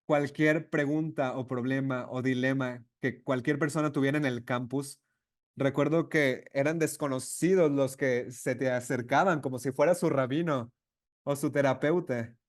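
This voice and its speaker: A man interpreting a webinar conversation live, speaking in halting phrases with false starts and self-corrections. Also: medium pitch, fairly even intonation.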